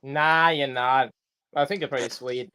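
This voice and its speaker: A man's voice: mid-range voice, steady tone, unhurried, bright, forceful tone, smooth, low-quality recording. A man hosting a casual livestream, joking and rambling with plenty of fillers. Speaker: livestream host.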